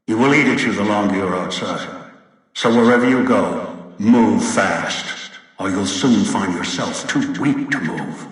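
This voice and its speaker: An actor playing a cold, controlling old villain in a video game, speaking in a deliberate, commanding and menacing way. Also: male voice, lowish voice, fairly bright tone. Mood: grim instructing. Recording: very echoey, distant, long reverb.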